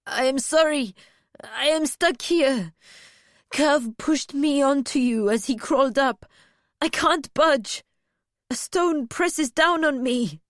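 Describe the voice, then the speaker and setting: A woman as a whimpering, high-voiced big man. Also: slow pace, dry recording, studio quality. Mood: plaintive. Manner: whimpering, plaintive. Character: big, sickly, whining man on the edge of hysteria. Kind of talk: audiobook character voice.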